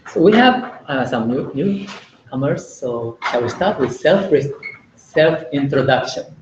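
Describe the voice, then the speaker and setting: Slow man giving a talk. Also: on the high side, expressive. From Japan. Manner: hesitant, many filler pauses, plain explaining tone. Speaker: Buddhist lecturer. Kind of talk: informal lecture to a small group. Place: indoor meeting room. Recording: roomy, slightly dull, faint room hiss.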